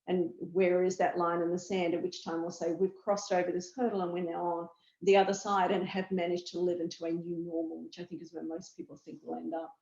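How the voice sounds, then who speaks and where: A woman's voice: fairly low pitch, level tone, fairly quick, a little mellow. Australian woman in a muffled, echoey room. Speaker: pharmaceutical chief medical officer, expert guest. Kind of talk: explanatory discussion.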